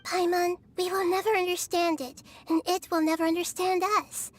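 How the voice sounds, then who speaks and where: An actress playing a small, wise young goddess, speaking softly and calmly. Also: female voice, extremely high pitch, moderate pace. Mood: gentle. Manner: soft, calm, gentle.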